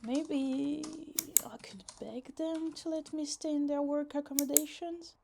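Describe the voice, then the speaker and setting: A woman's voice: high voice, vocal fry, dark tone, full character voice. A woman playing a possessive, lovesick yandere young woman in a roleplay ASMR, teasing, pouty and dramatic in casual, conversational speech. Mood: pining, obsessive.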